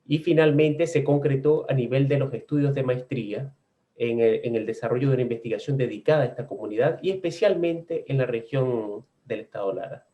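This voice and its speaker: A man from Venezuela speaking as a researcher on a video-call panel, measured and academic, pausing often on "eh". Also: medium pitch, narrow, tinny audio. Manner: measured, with frequent "eh" pauses. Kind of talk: academic discussion.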